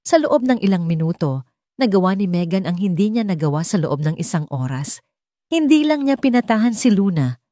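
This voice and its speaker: A woman's voice: mid-range voice, sing-song, normal speed, mellow, no echo, clean studio sound. A woman with a Filipino accent reading a scripted romance story as an audiobook narrator.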